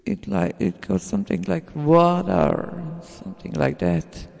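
A man with a non-native English accent talking casually about his sound samples, halting and searching for words with many fillers. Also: a little high, wildly swooping pitch, creaky, a little mellow, in a large hall. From Germany. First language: not English. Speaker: sound producer sharing samples. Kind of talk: casual video message.

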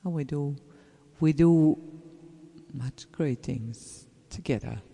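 Very slow, gravelly German-accented speaker. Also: medium pitch. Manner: halting, searching for words, frequent fillers; earnest and encouraging, drops to soft whispers at phrase ends. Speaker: voice artist sharing a message to fellow artists. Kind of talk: casual spoken message to listeners. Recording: roomy with long reverb.

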